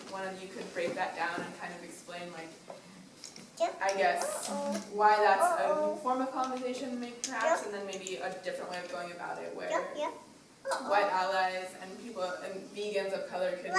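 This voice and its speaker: A woman in the audience asking a hesitant, hedging question at a panel. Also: mid-range voice. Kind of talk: question from the floor. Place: conference hall. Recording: very echoey hall, distant mic, noise.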